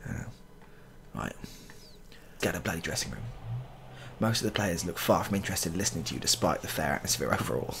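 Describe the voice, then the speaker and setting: A man in his thirties with a low, creaky voice comments on a game he is playing. His delivery swings up and down in pitch as he mutters, repeats himself and swears mildly in mock exasperation. It is recorded close in a small room. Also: lively intonation, brisk, pauses often, a bit bright, a little hiss or hum. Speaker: gaming video creator. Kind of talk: casual joking game playthrough commentary.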